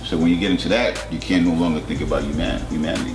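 Man from Jamaica, low rumbling voice, laid-back rambling talk, echoey room, music behind. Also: steady tone, very fast. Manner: laid-back, rambling, many "you know"s. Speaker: host. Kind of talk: casual interview chat. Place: indoor studio set with couch. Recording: roomy studio with music behind.

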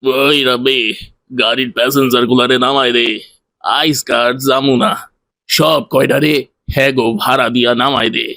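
A man voicing a greedy, spiteful minibus driver in a cartoon, with colloquial Bangladeshi speech and a gruff, bossy, threatening manner. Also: mid-range voice, little pitch movement, normal speed, bright, forceful tone, no room sound. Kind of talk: cartoon voice acting.

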